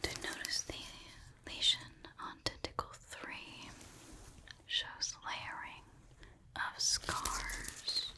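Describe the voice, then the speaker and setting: A woman performing an ASMR roleplay as a calm researcher, whispering clinical observations in a studious murmur and repeating words, close to the microphone. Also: extremely slow. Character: calm female researcher examining an abyssal creature.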